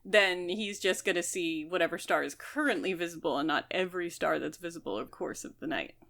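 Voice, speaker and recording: A woman's voice: on the low side, fairly quick, creaky, a bit bright. A woman co-hosting a podcast, chatting casually as she talks through a book. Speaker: podcast co-host.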